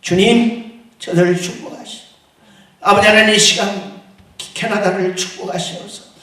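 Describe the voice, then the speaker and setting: High-voiced man praying in an echoing church. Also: steady tone, normal speed. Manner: impassioned, keeps calling out to God, swinging from loud to hushed. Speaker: prayer leader at a church service. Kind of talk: fervent public prayer. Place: large echoey church hall. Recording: very echoey hall, heard from far off.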